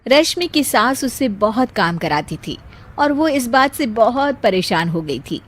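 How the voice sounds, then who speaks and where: A woman's voice: fairly high pitch, big pitch swings, a little slow, soft background music. A woman narrating a scripted cartoon story.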